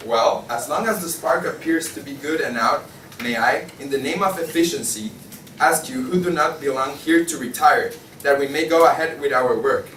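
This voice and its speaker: A man's voice: on the high side, normal speed, a bit bright, lightly acted. A man reading the part of a weary, irritable magazine editor in a stage play, dry and gloomy. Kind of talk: student table read of a stage play. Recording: distant echoey room, faint noise.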